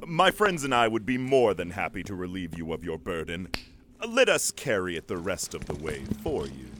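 Swooping, oily, falsely helpful schemer. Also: male voice, low voice, very animated, normal speed, a bit bright, a little hiss or hum. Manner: courteous, wheedling, unctuous. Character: smooth-talking, falsely helpful male schemer. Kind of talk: audio drama acting.

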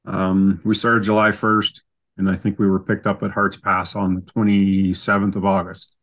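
A man's voice: sits low, monotone, moderate pace, fairly bright tone. A man with a Canadian accent telling stories casually as an interview guest, in an easygoing, self-deprecating way. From Canada, southern Saskatchewan. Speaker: podcast guest.

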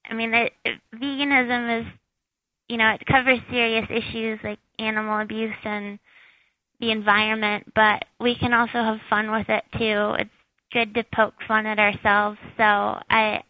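A woman with a squeaky high voice, a shop owner chatting as an interview guest, with lots of fillers. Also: no echo, highs cut off. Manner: chatty, many fillers, laughs at herself. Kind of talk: casual interview answers.

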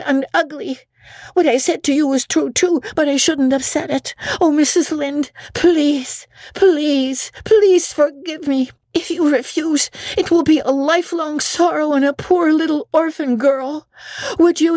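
A woman's voice: high voice, a little mellow, dry recording, voice pushed up. A woman voicing a gushing, imaginative orphan girl with theatrical, earnest delivery in a storybook reading. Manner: theatrical, effusive, earnest pleading. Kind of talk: dramatic audiobook reading.

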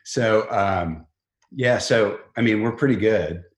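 Middle aged guy, real low voice, casual interview chat, kinda halting, roomy echo. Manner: informal, halting, frequent self-rephrasing. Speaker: company chief executive, podcast guest.

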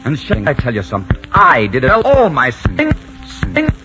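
A dashing, proud pirate captain in an old radio romance. His mocking, declamatory lines swing hugely from low to high. The muffled recording has loud music around him. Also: wildly swooping pitch, moderate pace. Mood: boastful. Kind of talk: 1940s radio romance acting.

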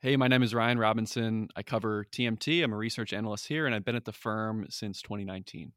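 A man's voice: on the low side, fairly even intonation, quick pace, a bit bright, no room sound, studio quality. A young man, a research analyst guest, answering interview questions in an enthusiastic, conversational way.

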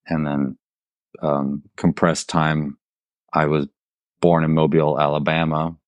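Middle-aged guy raised in germany, low voice, chatting casually about his life, dry close sound. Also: flat delivery, a little slow, dry recording, lo-fi sound. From raised in southern Germany. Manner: informal, quotes imagined dialogue as he tells stories. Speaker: installation artist, podcast guest. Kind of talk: casual conversational storytelling.

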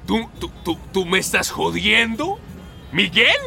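Audio drama: proud revolutionary hero, Latin American Spanish accent, very forceful bright tone, animated swings. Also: male voice, sits high, normal speed, gravelly, soft background music, exaggerated voice. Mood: indignant, baffled. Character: excitable, proud male revolutionary leader returned from the grave.

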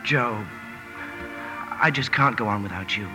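A man's voice: lowish voice, measured pace, music in the background, lightly acted. An actor playing an ardent, impetuous young man in love, emphatic and pleading, in a 1950s radio drama.

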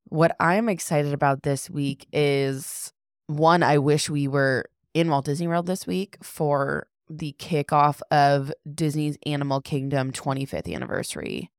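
Deep-voiced woman co-hosting a podcast, congested. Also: a little slow, clean tone, dry recording, clean studio sound. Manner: enthusiastic and gushing. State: congested from allergies. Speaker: podcast co-host. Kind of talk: casual chat.

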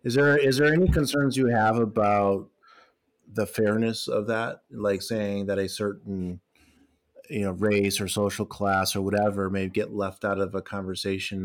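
Deep voiced guy asking stuff casually, you know, like. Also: little pitch movement, normal speed. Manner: casual, questioning with fillers. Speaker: podcast co-host. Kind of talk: interview questions.

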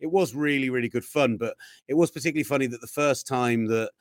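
A man's voice: mid-range voice, fast talker, no room sound, studio quality. A man hosting a sports podcast, telling long rambling stories in a casual chat. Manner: chatty rambling storyteller, animated. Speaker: podcast host.

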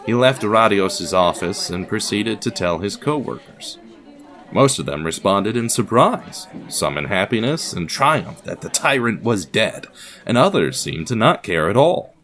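A man's voice: on the low side, big pitch swings, measured pace, a bit bright. A man reading scripted narration as the narrator of an audio production.

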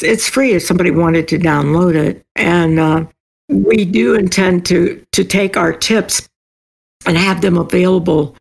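A woman's voice: on the low side, gravelly, low-quality recording. A woman talking conversationally as an author being interviewed. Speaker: guest, self-published author.

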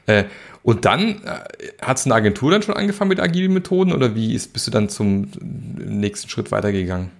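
A man's voice: on the low side, expressive, brisk, creaky. A male podcast host chatting casually with his guest, with lots of restarts and fillers. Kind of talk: casual interview.

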